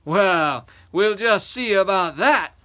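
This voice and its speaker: A man voicing a huge, ugly, mean giant in a children's story, booming out mocking, drawn-out threats. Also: animated, bright, forceful tone, heavily acted. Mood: menacing.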